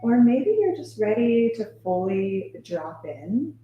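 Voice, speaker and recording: A woman leading a guided yoga nidra meditation as a teacher, speaking in a soothing, gentle way with long, unhurried pauses. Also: on the high side, very animated, lo-fi sound, faint music behind. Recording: roomy echo with faint background noise.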